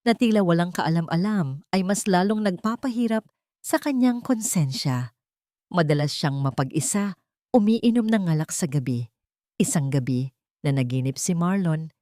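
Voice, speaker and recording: A woman's voice: fairly low pitch, warm tone, no room sound, studio quality. A woman with a Filipino accent narrating a scripted romantic drama story.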